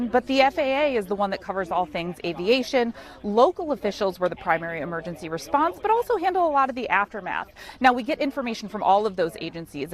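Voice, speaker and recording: Woman, American accent, live TV field reporter, explanatory, conversational, semi-scripted, medium pitch.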